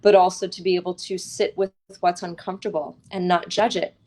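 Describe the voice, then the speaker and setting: A woman's voice: on the low side, flat delivery, brisk, darkish tone. A woman speaking as a guest author in a conversational interview, in an earnest, reflective way, often checking in with a short tag question.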